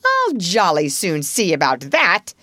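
A woman voicing a conceited, indignant male toad in a storybook reading, with pompous, drawn-out bluster. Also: on the low side, wildly swooping pitch, pushed and bright, no room sound, clean studio sound, heavily acted. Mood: defiant.

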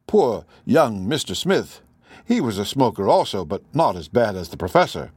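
A man's voice: fairly low pitch, big pitch swings, moderate pace, darkish tone, slightly rough, no room sound. A man voicing a plain-spoken working-class female housekeeper in an audiobook, chatty, folksy and deferential. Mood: gossipy. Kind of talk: audiobook character voice.

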